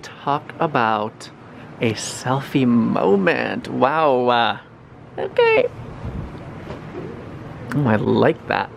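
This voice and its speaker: A young man talks straight into a camera he holds at arm's length, chatty and excitable, gushing over every find. His voice sits at an everyday pitch, and clear background noise from the car and the busy store is always there behind him. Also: very slow, long pauses. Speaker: vlogger. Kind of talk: casual vlog chat to camera. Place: car, showroom and store, indoors. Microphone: handheld camera at arm's length.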